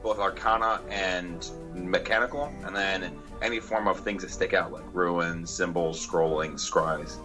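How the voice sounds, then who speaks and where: A man's voice: sits low, fairly even intonation, a little slow, over music. A man playing a tabletop roleplaying game, talking casually and methodically through his character's moves. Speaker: player.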